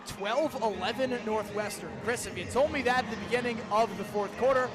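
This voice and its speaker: A man's voice: high voice, a little fast, music in the background. A young man calling live sports on the radio, describing each move in detail with dramatic phrasing. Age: young adult. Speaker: play-by-play broadcaster. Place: outdoors at a lacrosse stadium. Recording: clear outdoor stadium noise behind.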